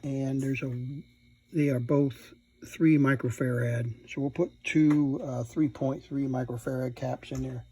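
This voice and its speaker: A man at an indoor workbench gives an unscripted, hands-on repair demonstration, thinking aloud in a halting way as he works. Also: mid-range voice, steady tone, a little mellow, husky, low-quality recording. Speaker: electronics repair hobbyist presenting.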